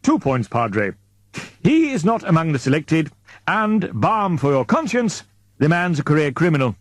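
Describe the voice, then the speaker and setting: A man voicing a fatherly, authoritative male expedition leader in an audiobook, booming and pompous, with wordy formal speech. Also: no room sound. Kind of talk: audiobook character dialogue.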